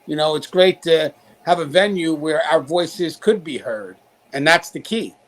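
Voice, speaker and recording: A man with a high-pitched voice hosts a talk show. He speaks straight to his viewers in a conversational, emphatic style, urging them along. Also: expressive, a little slow, a bit bright. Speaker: show host, corrections professional.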